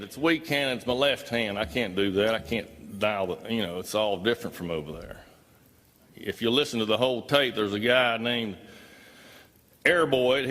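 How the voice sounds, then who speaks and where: Guy telling a story to a crowd, folksy and emphatic, medium pitch, hall reverb. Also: fairly bright tone. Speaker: speaker recounting his own experience. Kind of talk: live storytelling talk to an audience.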